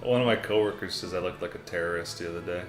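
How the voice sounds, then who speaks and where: A man's voice: deep voice, quick pace. A young man from the United States hosting a casual livestream, reading chat messages aloud in a laid-back, rambling way and often calling people "man" and "dude.". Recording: roomy echo, classical music playing behind.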